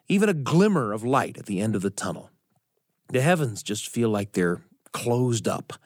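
A man's voice: mid-range voice, dry recording. Man telling a personal story, dry studio sound. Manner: earnest, reflective, deliberate pauses for emphasis. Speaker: radio storytelling show host. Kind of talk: personal storytelling, scripted narration.

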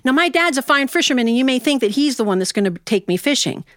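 An American woman storyteller from Ohio telling a tale live to listeners, in an animated, folksy way with dramatic pauses and asides. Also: high-pitched, no echo, studio quality. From Ohio, United States.

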